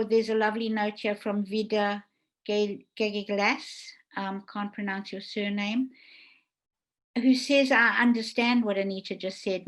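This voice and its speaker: A woman hosts a casual online panel with a mid-pitched voice. She speaks effusively and conversationally, like a theatre director addressing guests and audience. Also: a bit bright, low-quality recording. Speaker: host, theatre producer and director. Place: indoors, online video call.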